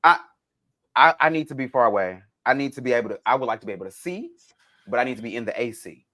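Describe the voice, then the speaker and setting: Animated man telling stories on a podcast. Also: medium pitch, quick pace. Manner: animated, dramatic retelling with acted-out quotes. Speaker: podcast co-host. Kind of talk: casual conversational storytelling.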